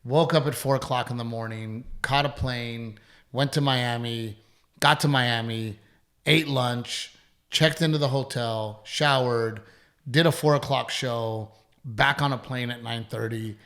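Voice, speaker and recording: A man's voice: mid-range voice, fairly even intonation, measured pace, a bit bright. A middle-aged man, a comedian hosting a podcast, chatting casually in a rambling, profane, self-deprecating way. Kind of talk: casual chat.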